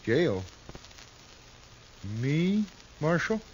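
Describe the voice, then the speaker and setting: A simple, frightened cowboy with a fairly high American voice. He stumbles along in a childlike, pleading way, as in an old radio Western. The sound is dull and faintly hissy. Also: big pitch swings, drawn-out words, darkish tone, heavily acted. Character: meek, frightened, simple-hearted cowboy in trouble. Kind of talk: 1950s Western radio drama acting.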